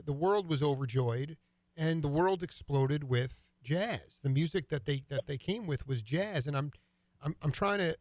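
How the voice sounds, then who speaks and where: A man's voice: fairly high pitch, dry recording. A man hosting an interview, asking long, casual questions in a rambling way with jazz slang. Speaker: radio show host.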